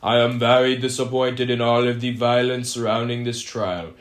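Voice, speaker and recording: Flat, formal young male newsreader. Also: medium pitch, normal speed. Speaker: co-host and newsreader of a mock radio broadcast. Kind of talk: scripted newsreading, amateur mock 1927 radio broadcast.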